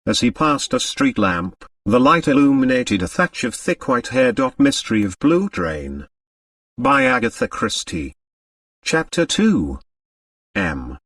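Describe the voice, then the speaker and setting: A man narrating a story as an audiobook reader, in a measured, deliberate, dramatic scripted style. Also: mid-range voice, huge pitch swings, creaky, a bit bright, no echo, recorded from a distance.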